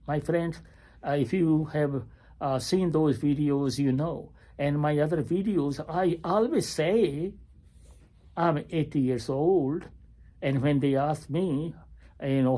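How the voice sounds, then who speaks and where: An eighty-year-old man records a video diary while waiting at a hospital. He speaks in a slow, halting way, full of pauses and fillers. His voice has a mellow, unhurried tone. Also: fairly high pitch. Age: 80s. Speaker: retired family physician.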